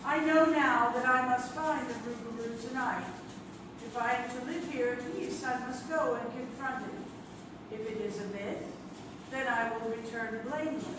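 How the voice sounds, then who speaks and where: A woman's voice: fairly high pitch, unhurried, close to an everyday voice. A woman playing an archivist who reads an old diary aloud dramatically. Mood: grave, haunted. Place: live stage in a theatre. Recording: very echoey noisy theatre, far off.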